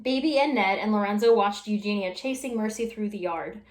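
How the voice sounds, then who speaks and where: A woman's voice: mid-range voice, a little fast. A woman reading a children's story aloud expressively, as a storytime reader. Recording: roomy echo.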